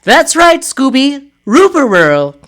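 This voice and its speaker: A man voicing a confident young female superhero in a raised, bright put-on voice. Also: very high voice, sing-song, slow pace, pitched above the performer's own voice, exaggerated voice.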